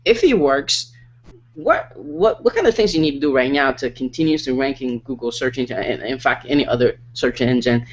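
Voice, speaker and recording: A man's voice: medium pitch, moderate pace, lo-fi sound. A man hosting an online interview, talking in a hyped, salesy way with lots of repeats and fillers. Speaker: interview host.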